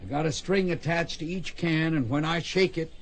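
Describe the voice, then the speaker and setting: An actor playing a fond, gruff Old West gunfighting mentor with folksy country phrasing in a radio drama. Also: male voice, on the high side, normal speed. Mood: coaching. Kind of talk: radio drama acting, western.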